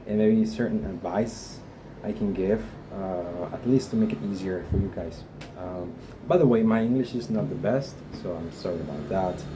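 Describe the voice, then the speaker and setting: A young man from Guatemala, talking casually and hesitantly to camera about his visa process, with many fillers. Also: fairly low pitch. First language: Spanish. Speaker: vlogger sharing a visa experience. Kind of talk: casual unscripted talk to camera. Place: a home in Spain. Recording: noisy room with a dog barking.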